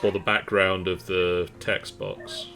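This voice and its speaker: A man's voice: sits low, flat delivery, slow pace, gravelly, sharp tone, faint music behind. A man co-hosting a game stream, giving casual commentary.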